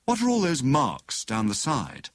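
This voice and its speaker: A man's voice: mid-range voice, huge pitch swings, unhurried, dry recording, pitched above the performer's own voice. A man voicing a genial, puzzled young husband and amateur detective, boyish and earnest. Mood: curious.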